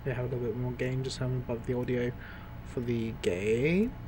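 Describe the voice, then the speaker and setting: A man streaming a game, with a slightly raspy voice, rambling and thinking aloud into his desk mic. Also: medium pitch, steady tone, fairly quick, noisy background. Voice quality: a bit raspy. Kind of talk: casual live-stream commentary.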